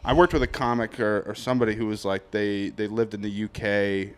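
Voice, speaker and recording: A man's voice: fairly low pitch, fairly even intonation, brisk, clean tone. Male comedian guest, New England accent, loose profane chat. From New Hampshire. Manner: joking, profane, slips into mock voices. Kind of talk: casual podcast chat.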